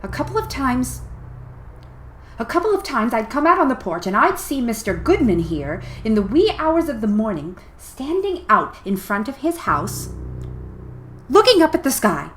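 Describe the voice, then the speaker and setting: A woman's voice: a little high, sing-song, moderate pace, fairly bright tone, a little hiss or hum. A woman playing a suspicious, gossiping neighbour in a radio play. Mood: suspicious, insinuating.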